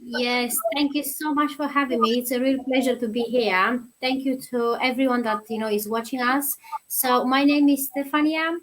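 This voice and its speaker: A woman's voice: sits high, fairly even intonation, moderate pace, poor audio. A woman in her thirties from Romania, a guest on an online show, talking in a friendly, chatty way with many fillers. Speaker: guest, nursery teacher. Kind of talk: interview answers.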